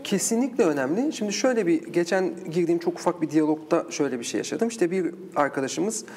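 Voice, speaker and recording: Fast-talking man in an echoey hall. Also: fairly high pitch, animated, a little mellow. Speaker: guest researcher of folklore and culture. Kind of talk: conversational interview explanation.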